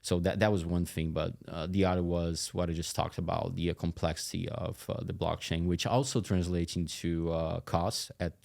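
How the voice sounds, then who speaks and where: A man in his thirties giving casual interview answers as a tech executive, halting and thinking aloud as he goes. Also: low-pitched, level tone, gravelly, darkish tone. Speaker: tech company chief executive and co-founder, podcast guest.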